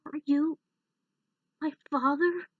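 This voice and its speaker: A woman's voice: high-pitched, fairly even intonation, slow, dry recording, narrow, tinny audio, voice pushed up. A woman voicing a doubtful, upset young boy in a story reading, urgent and questioning. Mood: shocked.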